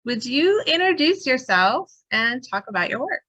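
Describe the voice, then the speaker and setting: A woman's voice: high-pitched, very animated, normal speed, pushed and bright, dry recording, highs cut off. A woman hosting a podcast interview, encouraging her guest. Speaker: podcast host.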